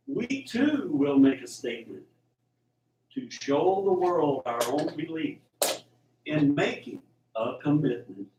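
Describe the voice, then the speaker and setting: A man reciting rhyming verse theatrically in a deliberate, singsong way. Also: fairly high pitch, a little mellow. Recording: roomy, distant, faint noise.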